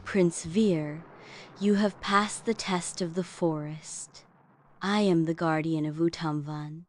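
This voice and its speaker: Female angel guardian for bedtime story, acted by a woman: gentle, solemn, low-pitched, unhurried, faint music underneath. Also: fairly even intonation, dry recording. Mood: solemn, approving. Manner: measured, formal, benevolent. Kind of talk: scripted children's bedtime story acting.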